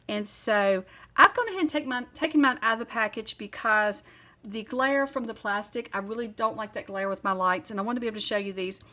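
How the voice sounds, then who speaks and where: A woman's voice: fairly high pitch, bright, forceful tone. A woman with a Southern accent hosting a card-making channel, talking through a craft tutorial in a chatty, rambling way and correcting herself as she goes.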